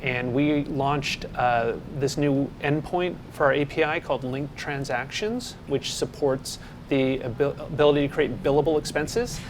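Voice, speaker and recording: A man co-presenting a developer show, chatting in a relaxed way about software partners. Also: mid-range voice, a little slow, slight background noise. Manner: relaxed, chatty with filler words. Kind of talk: casual chat. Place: studio set.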